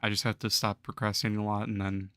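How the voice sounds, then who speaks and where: Hoarse young man streaming, gravelly voice. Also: lowish voice, flat delivery, quick pace, pushed and bright, dry recording. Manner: laid-back, chuckles while talking to chat. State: hoarse, losing his voice. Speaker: livestreamer. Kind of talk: casual gaming livestream chat.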